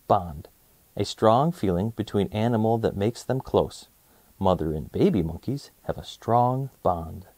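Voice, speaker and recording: Male narrator, mellow tone, lively swooping intonation, children's read-aloud, clear enunciation. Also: fairly low pitch, no echo. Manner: animated, clearly enunciated storytelling for young listeners. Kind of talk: expressive read-aloud of a children's nonfiction book.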